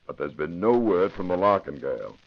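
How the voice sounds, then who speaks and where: An actor playing a brisk, authoritative male police commissioner in an old-time radio drama. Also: sits low, very animated, heavily acted. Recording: muffled old radio recording.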